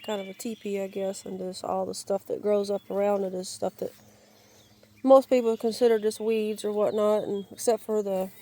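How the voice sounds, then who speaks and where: A woman with a raspy, crackly voice from a lingering cold, giving a halting, rambling tour of her wooded yard, searching for words and restarting often. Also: mid-range voice, level tone, dark tone. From Georgia, US. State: raspy, crackly voice while getting over a cold. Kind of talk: casual rambling walk-and-talk. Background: birds and outdoor ambience.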